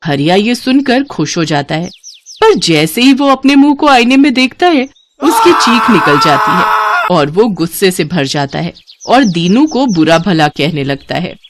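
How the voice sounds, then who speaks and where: A woman's voice: mid-range voice, very animated, a little slow, slight background noise. A woman narrating a children's moral tale as scripted storytelling.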